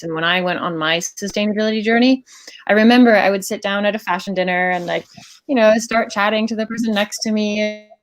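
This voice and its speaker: Unhurried woman speaking on a dry video call. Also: medium pitch, no echo, low-quality recording. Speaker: panelist, climate activist. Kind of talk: casual video call panel talk.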